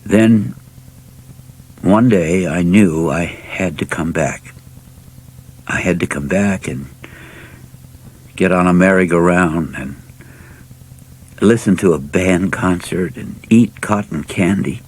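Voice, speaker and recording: Man reading as a weary, husky grown son. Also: low voice, exaggerated voice. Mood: weary, confessing. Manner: halting, earnest, drained. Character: weary grown son, confessing his weakness.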